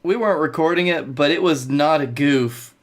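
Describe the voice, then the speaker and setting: A man's voice: on the high side, moderate pace, slight background noise. A man in his twenties chatting casually as a podcast co-host. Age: 20s. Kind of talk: casual banter about wrestling. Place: bedroom, on a video call.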